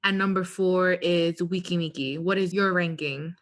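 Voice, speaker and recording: A woman's voice: fairly low pitch, fairly even intonation, normal speed. A woman co-hosting a podcast in a casual, opinionated way, with sassy, slangy talk. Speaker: podcast co-host.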